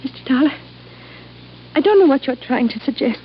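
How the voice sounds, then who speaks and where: A woman's voice: high voice, animated, brisk. A woman playing a poised, defensive daughter in a 1950s radio drama, speaking formally and politely. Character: poised, defensive adult daughter of wealthy family. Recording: muffled old radio recording, light hiss.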